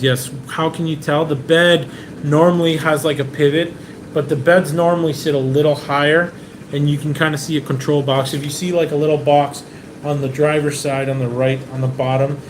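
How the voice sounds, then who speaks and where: A relaxed man from Venezuela chats through viewer questions on a live stream in a mid-pitched voice. His talk wanders, with restarts and filler words, and an air conditioner hums clearly in the room. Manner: relaxed, chatty, many "um"s and restarts. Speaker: car importer hosting a live Q&A. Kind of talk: casual live-stream Q&A, reading viewer comments. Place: indoors in a rented apartment. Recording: clear room noise and AC hum.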